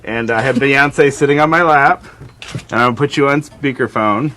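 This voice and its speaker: A man's voice: mid-range voice, moderate pace, sharp tone, slight background noise. A man chatting casually during a phone call in a recording studio, captured on an amateur video.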